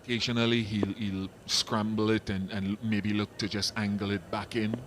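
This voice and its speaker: A man giving relaxed, chatty live cricket commentary, explaining the game's finer points. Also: lowish voice, moderate pace, background noise. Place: commentary box at a cricket ground.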